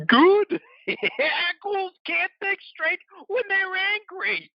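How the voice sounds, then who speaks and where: A man playing an excitable, loyal biker in an audio play, drawing words out and going from hushed to yelling. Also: extremely high pitch, no echo, muffled recording, full character voice. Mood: fired up. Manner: drawn-out, swinging from hushed to yelling. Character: excitable male biker, loyal, scrappy, scheming under pressure.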